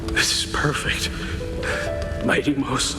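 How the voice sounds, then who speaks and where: An actor playing a dying warrior comrade in a game, admiring and defiant, with halting, breathy bravado. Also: male voice, medium pitch, little pitch movement, close to an everyday voice. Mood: defiant joy. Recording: very echoey hall, loud music behind.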